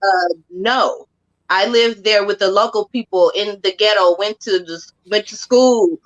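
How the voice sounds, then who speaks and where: Guest, woman from Hawaii, blunt storyteller, halting with restarts, mid-pitched. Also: muffled recording. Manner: blunt, halting, repeats words. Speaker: podcast guest, singer. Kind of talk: casual podcast conversation.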